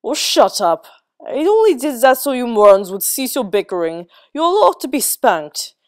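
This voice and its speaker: Woman playing a prim snooty man with glasses, loud, raised pitch, storybook. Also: very animated, moderate pace, dry recording, studio quality. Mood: scornful. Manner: snooty, scolding.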